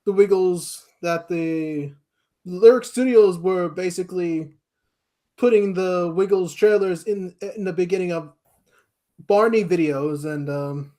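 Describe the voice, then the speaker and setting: A man from the United States chatting casually as a podcast guest, with hesitant, halting phrasing and short answers. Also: high voice, dark tone. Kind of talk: casual chat.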